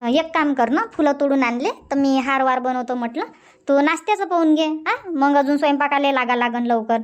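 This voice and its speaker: Woman acting a village housewife, high voice, rural Vidarbha Marathi accent, chatty, nagging, emphatic, cartoon comedy. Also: a little fast, muffled recording, lightly acted. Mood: brisk, instructing. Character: bickering but loving Indian village wife and mother-in-law. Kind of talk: cartoon comedy dub dialogue.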